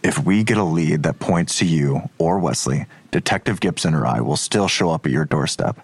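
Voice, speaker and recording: A man's voice: very deep voice, normal speed, slightly rough, no echo, heavily acted. An actor playing a skeptical police detective in an audio drama, terse and probing in his questions. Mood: challenging, doubtful. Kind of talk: naturalistic audio drama dialogue.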